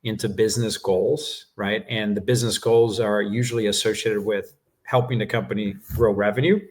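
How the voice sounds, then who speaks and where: Man, low voice, native Portuguese speaker, measured explanations, business interview guest. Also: fairly even intonation, darkish tone. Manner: measured explanations with frequent "uh" and "right?". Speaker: guest executive.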